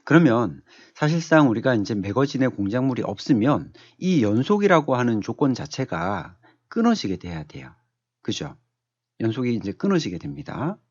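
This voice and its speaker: A man giving an unscripted software tutorial as an instructor, explaining step by step and asking rhetorical questions. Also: mid-range voice, sing-song, moderate pace, no echo, lo-fi sound. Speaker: automation instructor. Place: dry-sounding voice-over on a screen recording.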